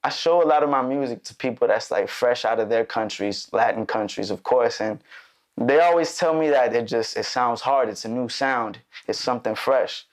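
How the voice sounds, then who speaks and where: A man's voice: mid-range voice, moderate pace. A young man born in Mexico and raised in Chicago, a musician guest chatting loosely in a studio interview, full of fillers and restarts. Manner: relaxed, rambling, many fillers and restarts. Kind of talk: casual interview chat.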